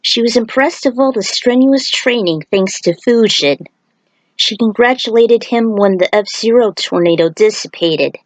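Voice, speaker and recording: A woman's voice: on the high side, a little slow, dry recording, low-quality recording. A woman reading an action story aloud as a narrator, with casual asides. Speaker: fan fiction author reading aloud.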